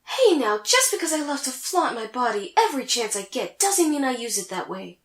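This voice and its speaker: Haughty, high-voiced anime sorceress, echoey. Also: female voice, big pitch swings, normal speed. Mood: indignant, flustered. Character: vain, haughty young female sorceress rival from an anime. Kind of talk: comedic anime fan audio drama acting.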